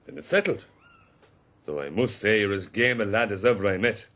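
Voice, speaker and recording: An actor playing an easygoing, wryly amused army captain who mediates quarrels in an avuncular way in a period radio drama. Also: male voice, on the low side, lively intonation, a little fast, fairly bright tone. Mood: brisk approval.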